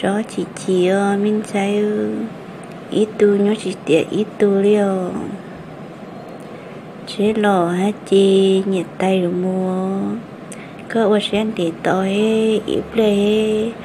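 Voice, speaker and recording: A woman acts out a female character in a cartoon family drama. The dialogue is spoken at a middle pitch, over faint background noise. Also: flat delivery, slow. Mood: conversational.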